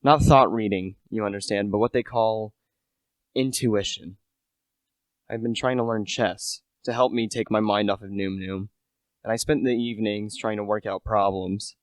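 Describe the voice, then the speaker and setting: A man's voice: on the low side, fairly even intonation, moderate pace, dry recording, natural voice. A teenage male actor playing an earnest, humble British salesman in a radio play, chatty and a little hesitant. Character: earnest, humble British relish salesman narrating a murder mystery.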